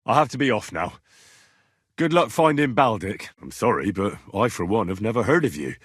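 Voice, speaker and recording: A man's voice: on the low side, animated, normal speed, a bit bright, slightly rough, dry recording, studio quality. A man voicing a young medieval man-at-arms in a video game, earnest, cheeky and plain-spoken, talking in a direct, inquisitive way. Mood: apologetic. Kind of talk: video game dialogue acting.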